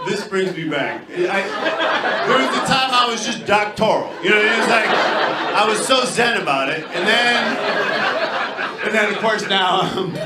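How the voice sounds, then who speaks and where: A male stand-up comedian with a high-pitched, very animated voice works the room. He teases in a halting, conversational way, over a loud, noisy live crowd. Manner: halting, teasing back-and-forth with audience. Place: comedy club stage with audience laughter. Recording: roomy live club, loud crowd noise.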